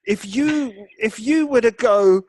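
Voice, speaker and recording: A man's voice: extremely high pitch, slow, darkish tone, no echo. A man co-hosting a football podcast, chatting casually with emphatic, opinionated and sweary banter.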